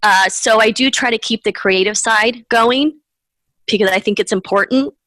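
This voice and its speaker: A woman's voice: on the high side, moderate pace, a bit bright, no echo, rough recording. A woman, a mortgage professional whose first language was Spanish, chats as an interview guest in an upbeat way, laughing between points. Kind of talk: conversational interview.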